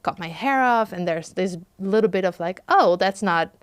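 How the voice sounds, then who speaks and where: Soft-spoken woman podcast guest. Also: lowish voice, normal speed, clear, resonant tone, no room sound. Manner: relaxed, peppered with "like" and "you know". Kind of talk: casual interview chat.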